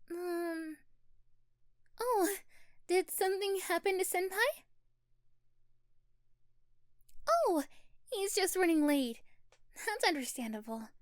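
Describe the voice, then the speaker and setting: A woman's voice: extremely high pitch, no room sound, studio quality, voice pushed up, full character voice. A woman voicing a sweet, shy, flustered schoolgirl with a crush, hesitant and giggly in an ASMR roleplay. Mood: polite, concerned.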